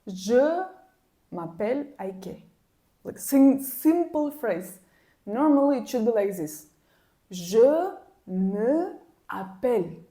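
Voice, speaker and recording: Woman w vlogging, slow and mellow, repeats herself a lot when frustrated. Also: a little high. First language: Kyrgyz. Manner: animated and exasperated, repeats words for emphasis. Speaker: language vlogger. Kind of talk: casual personal storytelling to camera.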